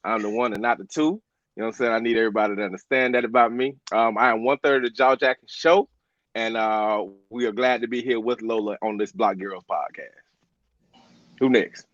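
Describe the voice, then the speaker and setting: A man's voice: fairly low pitch, steady tone, a little fast, a bit bright. An American-accented man talking casually and with swagger as a podcast guest. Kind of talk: casual introduction and chat. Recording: dry, dull lo-fi audio.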